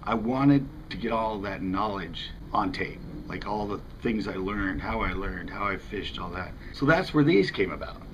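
A man's voice: lowish voice, lively intonation, normal speed, hardly any pauses, dull audio, background noise. A middle-aged American man in his fifties, a fishing channel host talking casually to camera in a rambling, conversational way. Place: outdoors in front of a house window.

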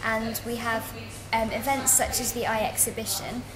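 A woman's voice: a little high, level tone, normal speed, crowd noise, poor audio. A young woman explaining events in a casual, informative interview style. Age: young adult. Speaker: festival organiser. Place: indoor student union room. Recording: clear indoor background noise.

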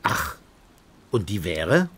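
A man acting in an audio drama as a skeptical, exasperated police inspector, husky and impatient. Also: deep voice, sing-song, takes it slowly, fairly bright tone, exaggerated voice. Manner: doubting, impatient.

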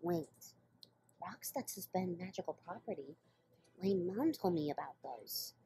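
Woman voicing a creaky, mellow, practical pony. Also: lowish voice, lively intonation, a little slow, a little hiss or hum. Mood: dry, calm. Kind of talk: animated fan drama dialogue.

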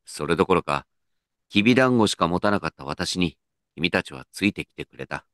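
An actor playing an earnest, dignified company president in a comedic radio drama, with pompous, measured executive speech. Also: male voice, sits low, lively intonation, a bit bright, no room sound, clean studio sound. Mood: earnest, supportive.

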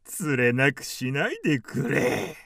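An actor playing a brash, possessive, hot-tempered bridegroom with theatrical delivery in a radio drama. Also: male voice, mid-range voice, very animated, gravelly, fairly bright tone, dry recording, clean studio sound, exaggerated voice. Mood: wooing, possessive. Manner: theatrical, drawn-out calls.

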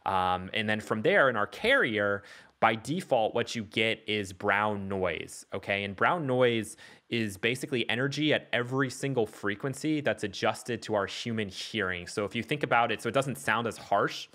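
Male tutor, lowish pitch, very bright crisp-edged tone, casual explanatory delivery for a production walkthrough. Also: normal speed. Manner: explanatory, checks in with "okay" and "all right". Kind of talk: casual software tutorial narration.

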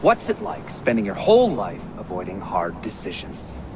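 Low-voiced, probing man. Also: wildly swooping pitch, loud music behind the voice, full character voice. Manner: deliberate, probing. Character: probing, blunt, unsettling male questioner. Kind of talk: video game drama dialogue. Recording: noisy game ambience.

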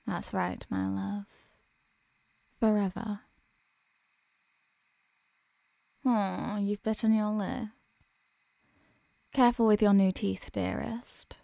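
A tender, ancient vampire girlfriend voiced by a woman in an ASMR roleplay. Her voice is mellow, soft and mid-pitched, with formal, caressing phrasing. She is soothing and protective, recorded close and dry. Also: flat delivery, slow, creaky, pauses often, dry recording. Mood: tender, reassuring. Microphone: close microphone.

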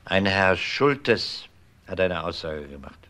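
An actor playing a persistent, no-nonsense police detective with a probing, matter-of-fact manner in a crime radio drama. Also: male voice, low-pitched, sing-song, slow, bright, forceful tone, exaggerated voice. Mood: sober, matter-of-fact.

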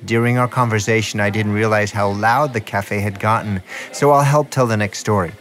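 A man's voice: fairly low pitch, fairly even intonation, moderate pace, fairly bright tone. A man reading scripted documentary narration as the reporter who made the piece.

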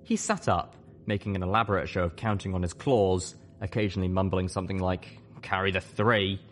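A man's voice: low-pitched, a little fast, a little hiss or hum. A man reading a scripted story aloud as an audiobook narrator. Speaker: audiobook reader.

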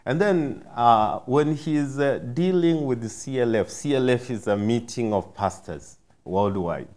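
A man, a pastor explaining haltingly on a discussion panel. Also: mid-range voice, animated, darkish tone. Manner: halting, repeats "you know". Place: TV studio. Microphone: lapel mic.

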